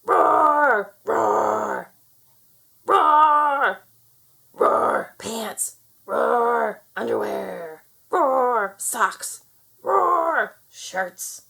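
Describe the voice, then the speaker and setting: A woman performs a small, boastful storybook dinosaur with a high voice that swings wildly. The little creature is rowdy and shouty, throwing out roars with a gravelly edge. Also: expressive, extremely slow, full character voice. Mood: excited, roaring. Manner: shouty, exclamatory roaring. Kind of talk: children's storybook character.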